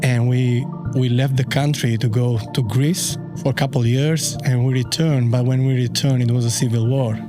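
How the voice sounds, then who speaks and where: A man in his thirties from Albania with an Albanian accent, a podcast guest telling his story in a halting, easygoing way with many fillers. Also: mid-range voice, steady tone, over music. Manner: unhurried, halting, frequent fillers. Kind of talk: casual storytelling interview.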